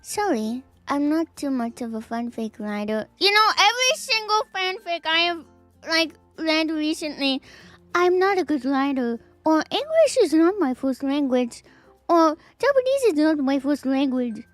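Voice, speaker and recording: A woman's voice: high voice, very animated, unhurried, no room sound, soft background music. A woman streamer reading viewer fanfics aloud on a live stream, playful and giggly, with teasing asides.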